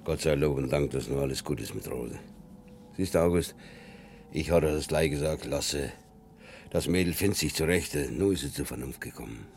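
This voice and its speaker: Stern old Silesian farmer, extremely low voice, plain rustic speech, noisy old radio production. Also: male voice, expressive, moderate pace, creaky, darkish tone, heavily acted. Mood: grave, content. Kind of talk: naturalistic German radio drama acting.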